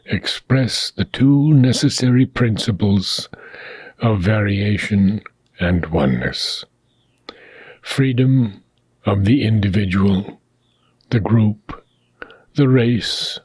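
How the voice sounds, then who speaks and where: Male narrator for philosophical audiobook: husky, unhurried, solemn and deliberate, with frequent pauses. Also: medium pitch, darkish tone, no room sound. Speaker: reader of a spiritual philosophy book. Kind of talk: scripted audiobook reading.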